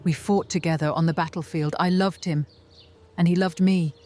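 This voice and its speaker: A woman playing an enigmatic vampire, a motherly figure who speaks slowly and deliberately, soothing and persuasive, in a fantasy horror audio drama. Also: fairly low pitch, steady tone, dark tone, natural voice. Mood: tender. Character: enigmatic, coaxing female vampire, motherly mentor to an orphan.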